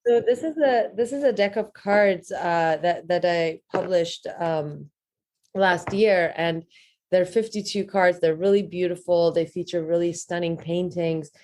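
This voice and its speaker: A woman's voice: lowish voice, a little slow, a little mellow. A woman guest on a podcast, talking casually about her work with frequent fillers and restarts. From Iran. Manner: hesitant, many fillers and restarts. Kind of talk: casual interview talk.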